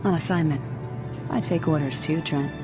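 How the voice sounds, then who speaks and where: A woman's voice: low-pitched, normal speed, music in the background, lightly acted. A woman playing a cool female agent. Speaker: game character. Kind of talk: video game cutscene acting.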